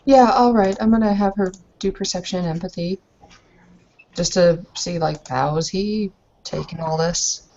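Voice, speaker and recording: A woman's voice: lowish voice, unhurried, dark tone. A woman chatting casually and role-playing as a player in a tabletop game. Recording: slightly muffled home mic.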